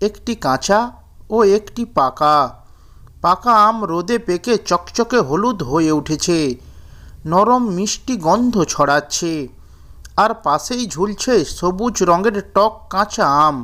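A woman's voice: mid-range voice, fairly bright tone. A woman narrator reading a scripted moral story for children.

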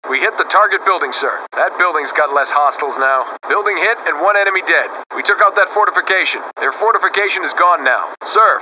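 A man's voice: fairly high pitch, natural voice. An actor playing a dutiful American artillery crewman in a military video game, speaking in terse, clipped reports. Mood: matter-of-fact. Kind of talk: military game unit acknowledgements. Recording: muffled radio-style audio over clear vehicle noise.

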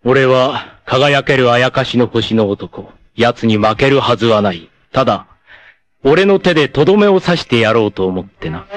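A man's voice: lively intonation, moderate pace, dry recording, close to an everyday voice. A man playing a vain, narcissistic, treacherous martial-arts villain in a 1980s anime, with a haughty, theatrical, mocking delivery. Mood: smug menace. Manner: theatrical, haughty, mocking, erupting into shrill outrage. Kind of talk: dramatic 1980s anime voice acting.

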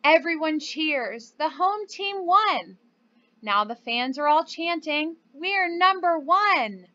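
Casting: young female children's teacher, high-pitched, unhurried delivery, encouraging manner. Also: animated, bright, forceful tone, muffled recording. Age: young adult. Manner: encouraging, addresses young children simply and clearly. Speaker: preschool teacher. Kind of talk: children's lesson and storybook read-aloud.

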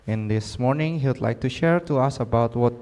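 A man with an Indonesian accent, moderating a lecture hall session and introducing speakers in a formal, halting way. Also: mid-range voice, darkish tone.

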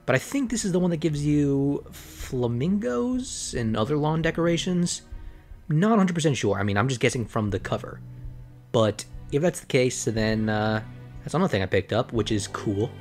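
A slightly hoarse man rambling through casual gameplay commentary, full of fillers and self-corrections. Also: mid-range voice, expressive, normal speed, a little mellow, faint music behind. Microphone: desk microphone.

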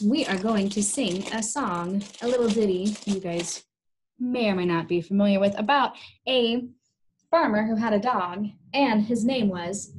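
A woman's voice: medium pitch, a little hiss or hum, low-quality recording. A young woman teaching small children in simple, repeated, encouraging phrases, recorded indoors on a device mic a little way off. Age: young adult. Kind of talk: instructional lesson for young children. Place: small room indoors, sitting on the floor.

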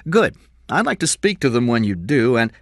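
A man's voice: medium pitch, sing-song, moderate pace, no room sound, clean studio sound, voice pushed up. A man voicing a frank, practical police lieutenant in an audiobook crime story, speaking in an emphatic, businesslike way. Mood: brisk.

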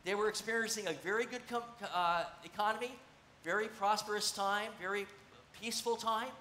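A middle-aged man preaching a casual, conversational sermon from a church stage, animated and gesturing as he teaches. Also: high voice, fairly quick. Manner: animated, conversational, gesturing while seated on a stool. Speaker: pastor. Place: church stage, large room. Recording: echoey church room, faint noise.

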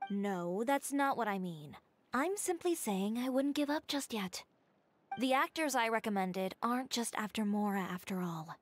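A woman's voice: medium pitch, moderate pace, slight background noise, lightly acted. A woman playing a cool, blunt young woman. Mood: calm. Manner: calm, matter-of-fact.

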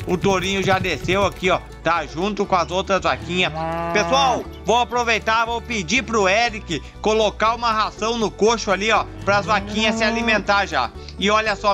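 A high-pitched man chats his way through toy farm play, talking to viewers as his friends. He stretches out long herding calls to the cattle. Music plays loudly behind his voice. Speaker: toy farm channel host. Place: outdoors in a dirt yard with toy animals. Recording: background music under the voice.

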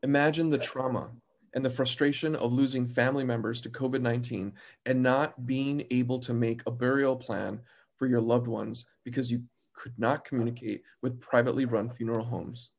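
A man in his thirties giving an earnest, measured opening statement as committee chair over a video call from a home office. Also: mid-range voice, vocal fry, a little mellow.

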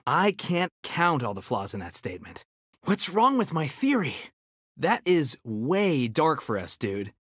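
Nerdy young american ninja turtle from a fighting game, cocky, super animated, dry close sound. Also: male voice, fairly high pitch, measured pace, slightly rough, no echo, exaggerated voice. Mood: confident, nerdy. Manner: nerdy, confident, quippy delivery. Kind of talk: video game character battle banter.

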